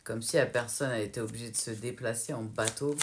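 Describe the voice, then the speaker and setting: A woman's voice: rumbling low, steady tone, a little fast, background noise. Rambling French woman reading tarot. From France. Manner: rambling, hesitant, talks as if relaying spirit guides. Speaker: tarot card reader. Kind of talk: casual spoken tarot guidance reading.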